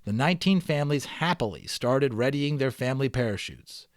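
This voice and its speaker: Male amateur storyteller, mid-pitched, close dry sound; expressive children's book narration that slips into casual commentary. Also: fairly quick, no room sound. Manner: expressive reading, dropping into chatty offhand commentary. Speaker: amateur audiobook reader. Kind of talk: dramatic read-aloud of a children's novel with casual asides.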